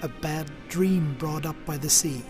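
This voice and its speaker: Man playing a slow, awed, fearful sailor. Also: a little high, music in the background, close to an everyday voice. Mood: awed dread. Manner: slow, solemn, portentous. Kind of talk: old-time radio drama acting.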